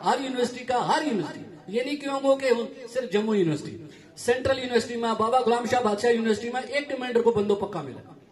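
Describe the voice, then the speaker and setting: A man's voice: extremely high pitch, fast talker. A man speaking in Hindi with a Pahari-Gojri accent, addressing a community meeting earnestly and explaining things as an education official. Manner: earnest, explanatory, switching local dialect and Hindi. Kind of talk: public speech.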